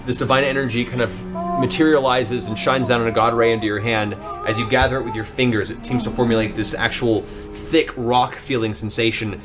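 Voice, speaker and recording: A man's voice: medium pitch, fairly even intonation, brisk. Man narrating fantasy over music. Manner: descriptive, dramatic storytelling. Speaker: game master. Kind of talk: narrating a tabletop fantasy game. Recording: music under the voice.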